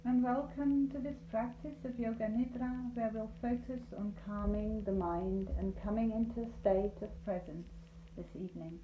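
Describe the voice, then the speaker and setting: Mellow woman guiding meditation in a roomy space. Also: fairly high pitch. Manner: calm, soothing, deliberate with many pauses. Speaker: yoga nidra instructor. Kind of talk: guided meditation. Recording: roomy echo, light background noise.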